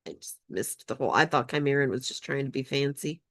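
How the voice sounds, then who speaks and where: A woman's voice: rumbling low, fast. A woman playing a tabletop role-playing game, chatting casually and playfully, often laughing. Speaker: player.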